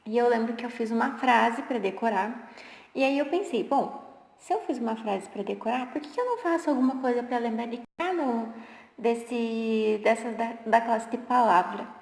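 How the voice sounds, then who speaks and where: A woman's voice: fairly high pitch, moderate pace. A woman in her twenties, a geography teacher, telling in a chatty way how she learned the language for exams. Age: 20s. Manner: conversational, with restarts and filler words. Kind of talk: personal story pitching a study method. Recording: roomy echo with long reverb.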